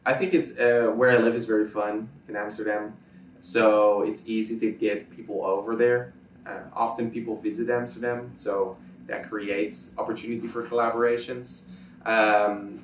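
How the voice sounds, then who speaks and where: Dutch man, low voice, roomy distant sound. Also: slight background noise. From Netherlands. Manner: emphatic, repeats points, checks agreement with "right?". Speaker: YouTuber guest. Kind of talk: casual interview answers.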